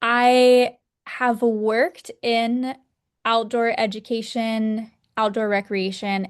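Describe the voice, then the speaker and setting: A woman in her thirties from Texas, a podcast guest telling her story in a relaxed, rambling way. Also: medium pitch. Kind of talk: casual interview.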